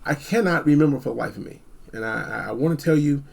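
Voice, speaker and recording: A man with a fairly high voice and a clear fry in it chats off the cuff as a product reviewer. He is animated and emphatic, often circling back to repeat a phrase for effect. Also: fast talker. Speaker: product reviewer hosting a video. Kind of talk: casual, unscripted gadget review chat.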